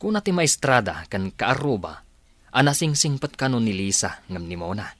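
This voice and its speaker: A man's voice: medium pitch, very animated, normal speed, fairly bright tone, no room sound. A man playing a chatty Ilocano village father in a comedy radio drama. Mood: chatty, curious. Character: animated village father comparing his daughters.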